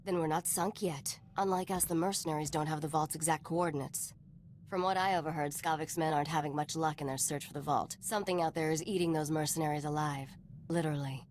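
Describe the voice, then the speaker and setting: Game casting: actress as tough smuggler woman, low register, blunt and unapologetic, faint noise behind. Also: fairly even intonation, a little mellow, natural voice. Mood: brisk, businesslike. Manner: blunt, brisk, matter-of-fact. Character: hard-bitten, self-serving woman smuggler partner, unapologetic. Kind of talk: video game voice acting.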